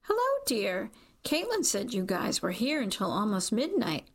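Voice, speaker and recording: A woman's voice: medium pitch, wildly swooping pitch, a little slow, vocal fry, exaggerated voice. A woman voicing a friendly, oblivious older female coworker in an audiobook, chatty and unbothered. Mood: sweetly oblivious.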